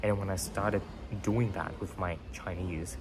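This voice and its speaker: Deep-voiced man musing over music. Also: fairly even intonation, a little slow, background noise. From Vietnam. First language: Vietnamese. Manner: rambling, many fillers and tag questions. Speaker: podcast host. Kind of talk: casual motivational monologue. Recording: background music under voice.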